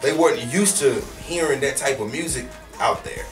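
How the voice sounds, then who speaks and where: A man's voice: mid-range voice, normal speed, roomy sound, far from the mic, soft background music. A man from Houston, a rapper being interviewed, talking loosely and casually with lots of fillers. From Houston, Texas. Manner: laid-back, slangy, with many fillers. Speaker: guest rapper.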